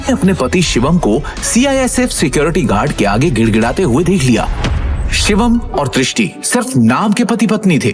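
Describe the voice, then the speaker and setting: A man narrating a Hindi audio drama in a dramatic, scripted storytelling style. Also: medium pitch.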